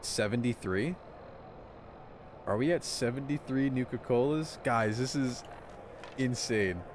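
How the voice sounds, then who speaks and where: A man in his twenties in a small room with a headset, casually commentating a live stream and chatting excitedly with viewers. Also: mid-range voice, unhurried, slight background noise. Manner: excitable, chatty, addresses chat.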